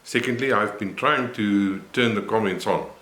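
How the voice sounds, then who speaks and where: Deep-voiced older Afrikaans-man. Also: a bit bright, echoey room. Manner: unhurried, reflective, many pauses and fillers. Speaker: vlogger. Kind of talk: personal health vlog.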